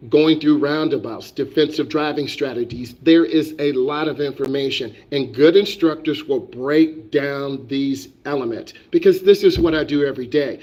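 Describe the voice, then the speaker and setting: A man's voice: mid-range voice, a little mellow, dull audio. A man who is a driving instructor, lecturing on a live stream with emphatic, repetitive, preachy delivery. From United States.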